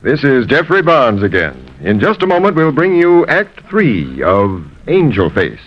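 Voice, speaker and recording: Male American radio announcer, 1950s scripted style, hugely varied pitch, dull noisy recording. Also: huge pitch swings, a little slow.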